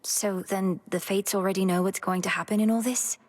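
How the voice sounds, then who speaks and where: A woman playing an earnest, determined young underworld princess in a video game, speaking formally and with measured resolve. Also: medium pitch, steady tone, darkish tone, natural voice. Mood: courteous. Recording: long reverberant hall effect.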